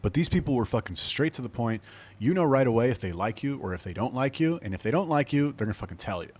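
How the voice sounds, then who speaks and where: A man's voice: medium pitch, lively intonation, fast talker, a little mellow, husky. A young man from Los Angeles, hosting a podcast and telling a long personal story in a casual, profane, digressive way. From Los Angeles, USA. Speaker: podcast host.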